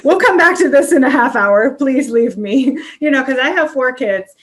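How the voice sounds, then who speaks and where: A woman's voice: high voice, expressive, normal speed, a bit bright. A middle-aged woman hosting a podcast, talking candidly and relatably in a casual conversational style. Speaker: podcast host.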